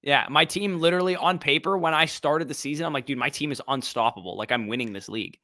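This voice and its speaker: A man's voice: on the high side, fast, pushed and bright, no echo. A young man co-hosting a casual sports podcast, opinionated and rambling, often laughing. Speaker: podcast co-host.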